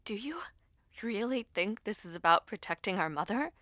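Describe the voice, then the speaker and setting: A woman voicing an anxious, protective young princess in an audio story, earnest and cautioning, with breathy intensity. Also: medium pitch, lively intonation, normal speed, a bit bright, dry recording, studio quality, pitched above the performer's own voice, natural voice. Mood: earnest worry.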